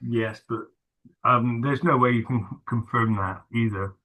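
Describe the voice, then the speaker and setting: Male host, casual talk, bright tone, halting and filler-heavy. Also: medium pitch, poor audio. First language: not English. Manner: hesitant, many fillers and restarts. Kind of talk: casual video-call chat.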